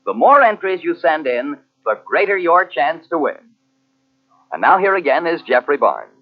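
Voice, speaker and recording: A man's voice: on the high side, big pitch swings, normal speed. A man announcing on 1940s radio in a punchy, formal promotional style. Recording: muffled old radio recording.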